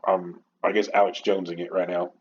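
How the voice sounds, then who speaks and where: Low-voiced man rambling on a lo-fi podcast. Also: flat delivery, brisk. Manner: rambling, passionate, informal. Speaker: podcast host. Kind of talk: unscripted rant-style podcast monologue. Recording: dull lo-fi audio with clear background noise.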